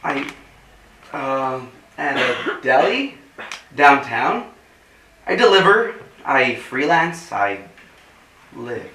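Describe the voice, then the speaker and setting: An actor in a staged reading playing an awkward, deadpan, quietly flirtatious newcomer, halting and dryly joking. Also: male voice, medium pitch, full character voice. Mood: hesitant, defensive. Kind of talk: staged play reading, naturalistic dialogue. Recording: roomy, distant mic with faint noise.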